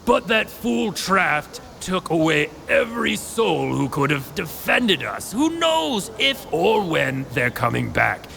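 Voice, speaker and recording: An actor playing a cautious, stubborn townsman in an audio drama, speaking with emphatic oratory and a gravelly voice. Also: a little high, very animated, slow pace, few pauses, sharp tone, slight background noise, full character voice. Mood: grave, urging. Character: cautious, stubborn townsman urging appeasement and survival.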